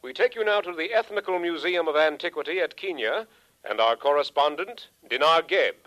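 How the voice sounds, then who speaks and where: An actor playing a formal future radio announcer calling out to a correspondent, in 1950s radio style. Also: male voice, a little high, expressive, brisk, sharp tone. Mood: official, insistent. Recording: faintly noisy 1950s radio broadcast.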